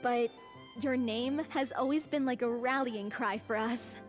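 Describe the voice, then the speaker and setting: A woman voicing a brisk, practical young woman in a game cutscene. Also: fairly high pitch, lightly acted. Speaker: game character. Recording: game cutscene audio with faint music.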